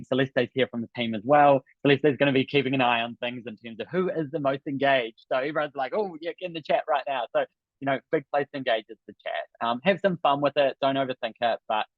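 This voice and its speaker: An upbeat man with a New Zealand accent talks to a live online audience, chatty and encouraging, with plenty of fillers. His voice sits at a middle pitch. The sound is dry and a little dull, as over a video call. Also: dry recording. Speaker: business coach hosting an online summit. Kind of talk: live webinar talk to an audience.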